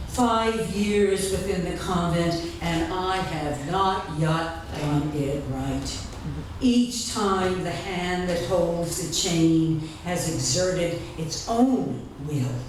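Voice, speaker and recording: A woman giving a live literary reading, deliberate and dramatic, pausing between short phrases. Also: fairly low pitch, far from the mic. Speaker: author reading at a literary event. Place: indoor reading event before an audience. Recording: echoey room from afar, faint noise.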